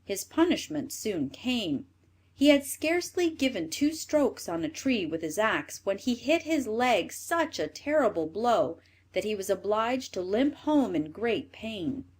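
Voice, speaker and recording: A woman narrates a children's fairy tale with a very animated, swooping pitch. Her voice sits at a middling height for a woman. The delivery is scripted and expressive, as a storyteller reading aloud. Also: unhurried, slightly rough.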